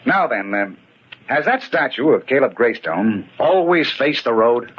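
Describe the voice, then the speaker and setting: On a dull, slightly noisy old radio recording, an earnest police commissioner. He has a medium-pitched man's voice with lively swings, deliberate and formal in the 1940s manner. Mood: businesslike. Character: earnest, puzzled male police commissioner, businesslike and curious. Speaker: radio actor. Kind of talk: 1940s radio mystery acting.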